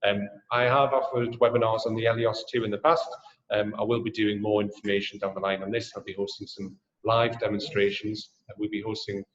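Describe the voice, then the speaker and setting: Geordie guy presenting a drone webinar, lowish voice, echoey dull call audio, lots of ums. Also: little pitch movement, a little fast, slight background noise. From Newcastle, England. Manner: friendly sales pitch with frequent ums and uhs. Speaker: webinar host and drone sales trainer. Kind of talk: presenting a webinar and answering questions.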